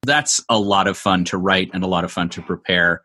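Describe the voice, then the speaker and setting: A man speaking as an interview guest, explaining creative work in articulate, measured phrases with considered pauses. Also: low-pitched, fairly even intonation, pushed and bright. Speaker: interview guest, comedy show writer.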